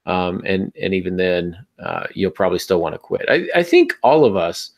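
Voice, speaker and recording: A man's voice: deep voice, big pitch swings, moderate pace, vocal fry. A man hosting a podcast in a casual, conversational way, with wry asides as he talks about writing. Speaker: podcast host.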